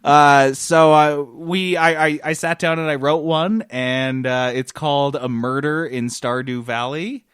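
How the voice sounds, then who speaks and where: A man's voice: fairly high pitch, steady tone, unhurried, few pauses, fairly bright tone, smooth, dry recording, clean studio sound. A man co-hosting a podcast with informal, casual gaming chat. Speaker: podcast co-host.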